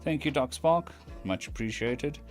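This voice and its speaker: A man's voice: medium pitch, unhurried, darkish tone, faint music behind. A man from South Africa with a South African accent tinged with British tones, chatting casually on a stream and thanking viewers by name. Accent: South African English with British tones.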